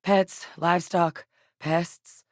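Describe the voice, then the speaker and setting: An actress playing a grave, dedicated woman scientist in a space-faring military, speaking in a measured, articulate way as video game dialogue. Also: fairly low pitch, steady tone, no room sound, studio quality. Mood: businesslike.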